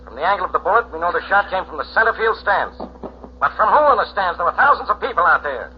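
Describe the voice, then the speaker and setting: An actor playing a gruff, sarcastic police inspector who barks questions, in 1940s radio drama style. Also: male voice, sits high, very animated, fast. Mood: sarcastic, bullying. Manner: barking, interrogating. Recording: dull, hissy 1940s radio recording.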